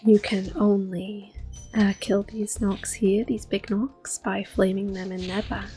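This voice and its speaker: A woman in her thirties giving soft-spoken, whispered ASMR commentary while playing a video game, gentle and unhurried. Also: mid-range voice, little pitch movement. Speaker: ASMR gaming host. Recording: soft game music in background.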